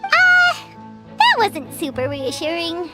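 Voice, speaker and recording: Tiny squeaky fairy sidekick for a game, super bouncy and eager, loud music behind. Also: female voice, huge pitch swings, slow pace, heavily acted. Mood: uneasy. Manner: talks of herself in the third person. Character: small childlike fairy companion, chirpy and eager. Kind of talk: animated video game voice acting.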